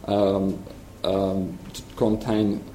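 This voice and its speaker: A man with a Hungarian accent presents at a talk, pausing often with ums and restarting his sentences. His voice is lowish and mellow. The sound is roomy, with a faint hiss behind it. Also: completely flat delivery. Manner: hesitant, many ums and restarts. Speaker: software engineer presenting. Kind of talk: conference presentation.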